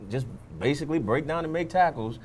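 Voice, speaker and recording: A man giving conversational football analysis as a panelist, halting and repeating words as he goes. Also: a little high, a little mellow, slight background noise. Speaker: sports show panelist.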